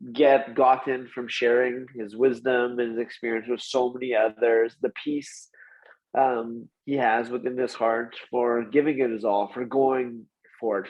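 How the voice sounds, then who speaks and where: A male podcast host asking a guest closing questions and leading him through a slow, soothing visualization. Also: medium pitch, muffled recording.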